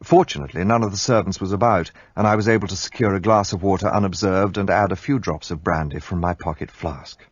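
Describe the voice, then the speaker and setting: A man narrating in the first person in a radio play, calm and reflective. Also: low-pitched, fast, few pauses, breathy edge, dry recording, dull audio.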